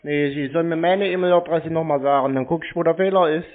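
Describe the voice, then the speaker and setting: A man's voice: a little high, steady tone, quick pace. A man chatting casually on the phone as a naive, rambling, colloquial customer. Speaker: prankster playing a gullible customer to phone scammers. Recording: narrow, tinny phone-call sound.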